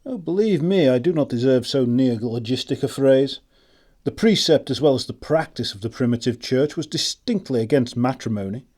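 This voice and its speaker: Man playing a mellow, pedantic country clergyman. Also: mid-range voice, animated, normal speed, close to an everyday voice. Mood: bashful, pedantic. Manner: pedantic, formal, mild. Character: pedantic, bashful middle-aged country clergyman, male. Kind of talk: stage comedy audio drama acting.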